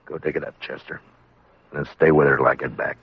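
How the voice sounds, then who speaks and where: A man's voice: a bass voice, steady tone, brisk, husky, heavily acted. An actor playing a stern, dogged American frontier lawman in a terse, firm radio-western style. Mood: stern, pressing. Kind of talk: 1950s radio western acting and narration. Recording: muffled vintage radio recording, music behind.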